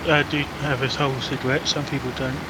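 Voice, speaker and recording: A man's voice: mid-range voice, flat delivery, fast, heavy background noise. A man talking about planes with fellow sim pilots in a matter-of-fact way. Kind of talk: casual chat on voice channel.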